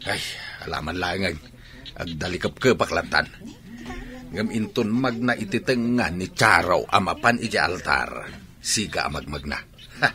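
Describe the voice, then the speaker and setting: A man's voice: low-pitched, expressive, normal speed, pushed and bright, heavily acted. A man with an Ilocano accent playing an older, grumbling father who mutters carping comments in a radio drama. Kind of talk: Filipino radio drama acting.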